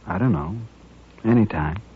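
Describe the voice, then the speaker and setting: A man's voice: deep voice, lively intonation, moderate pace, husky, heavily acted. An actor playing a courteous, resigned dying man in a 1950s radio western, speaking evasively and fatalistically. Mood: grimly determined. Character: resigned, polite, dying male frontier newcomer. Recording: muffled, dull old radio recording.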